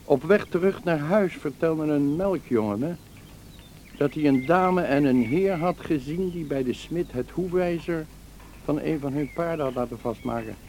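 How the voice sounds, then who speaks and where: A man acts out a concerned country doctor in a radio play. His voice is mellow and slightly high, his manner grave and suspicious. There is faint noise on the recording. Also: normal speed, close to an everyday voice. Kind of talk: radio drama acting.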